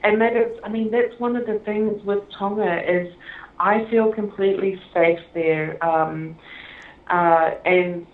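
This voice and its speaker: A woman's voice: medium pitch, fairly even intonation. Laughing woman on a tinny echoey line. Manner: chatty and halting, many fillers and laughs. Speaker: film director being interviewed. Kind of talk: casual interview conversation.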